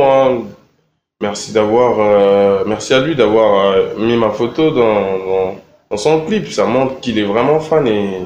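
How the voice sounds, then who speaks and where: A young man from Congo answering interview questions in a relaxed, halting way, with many fillers and repeated words. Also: mid-range voice, slight background noise. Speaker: rapper interviewed. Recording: echoey room with faint ambience.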